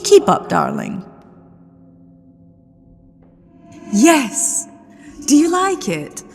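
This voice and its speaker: A haughty lady ghost of a haunted manor, acted out by a woman, speaks slowly in a theatrical, imperious ASMR roleplay. Her delivery swings widely in pitch as she teases and threatens. Long reverb trails every phrase. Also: huge pitch swings, gravelly, darkish tone, full character voice. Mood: haughty, playful. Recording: long hall reverb with music behind.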